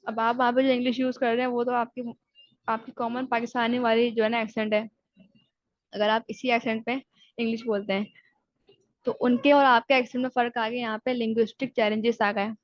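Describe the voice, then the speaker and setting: A woman's voice: a little high, flat delivery, dry recording. Lecturing woman. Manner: explains in Urdu-Hindi, slowly reads English definitions aloud, then paraphrases. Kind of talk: recorded exam-prep lecture. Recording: dull lo-fi sound.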